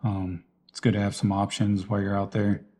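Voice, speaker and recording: A man's voice: lowish voice, completely flat delivery, brisk, dark tone. A man giving a casual, unscripted walkthrough of his gear in a plain, explanatory way, like a vlogger. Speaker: vlogger presenting personal radio gear.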